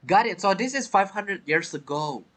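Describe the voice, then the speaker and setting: A man streaming a game with casual commentary, thinking aloud in halting, non-native phrasing. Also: sits high, lively intonation, a bit bright. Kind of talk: casual let's play commentary.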